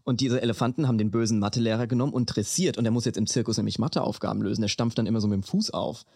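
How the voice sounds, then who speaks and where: A man's voice: on the low side, fairly even intonation, very fast, gravelly, a little mellow, no echo, studio quality. A man hosting a podcast, telling things in a casual, comedic, mock-horrified way with slangy English phrases. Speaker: podcast host. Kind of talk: casual comedic storytelling chat.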